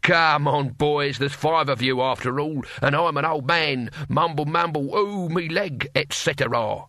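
A man voicing a very old barbarian warrior in a comic fantasy audiobook, cheerfully menacing, cackling and rough-spoken. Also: fairly high pitch, unhurried, bright, forceful tone, no room sound, studio quality. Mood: taunting. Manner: cackling, rough colloquial speech.

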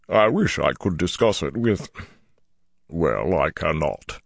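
A man voicing a ponderous, dignified old giant elder in a fantasy audiobook, with slow, halting, rumbling speech. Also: big pitch swings, no room sound, studio quality, exaggerated voice. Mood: reluctant, deliberating. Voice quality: gravelly rumbling. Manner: slow, deliberate, halting delivery.

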